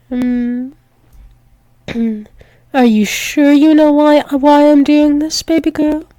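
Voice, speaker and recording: A woman's voice: a little high, warm tone, no room sound. A woman playing a tender, possessive alpha werewolf demon lover in an intimate ASMR roleplay, soothing and repetitive with small hesitant stumbles. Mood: tender praise. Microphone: close microphone, dry.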